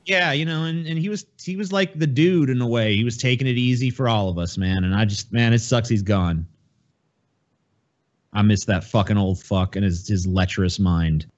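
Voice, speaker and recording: A man's voice: on the low side, normal speed, lo-fi sound. A man co-hosting a podcast, chatting casually in a blunt, profane, rambling way. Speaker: podcast co-host. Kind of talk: casual chat.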